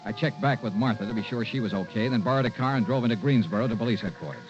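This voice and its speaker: A man's voice: medium pitch, very fast, lightly acted. Hard-boiled male investigator, played by an actor, mid-range, wry and clipped, narrating vintage radio noir over music. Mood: brisk, businesslike. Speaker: lead detective and narrator. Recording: dull vintage radio recording.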